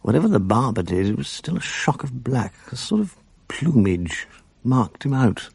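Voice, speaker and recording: An actor playing a reflective English man who narrates memories of boarding-school days in a measured, articulate, literary way. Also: medium pitch, big pitch swings, creaky, darkish tone, husky, dry recording, full character voice. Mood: wistful, reflective. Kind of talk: literary first-person drama narration.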